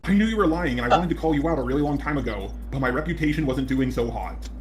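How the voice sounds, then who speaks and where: A synthetic male robot voice delivers scripted commentary as a video host. It sits at a medium pitch with a noticeable echo, and music plays clearly behind it. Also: expressive, quick pace. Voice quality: synthetic robot text-to-speech voice. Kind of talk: scripted narration, rebuttal commentary. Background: background music.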